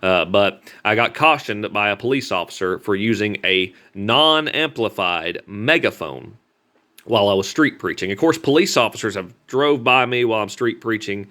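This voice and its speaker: Deep-voiced Southern American man talking informally. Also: animated, bright, forceful tone. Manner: halting, repetitive, earnest telling with folksy grammar. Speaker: street preacher. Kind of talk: informal personal vlog talk.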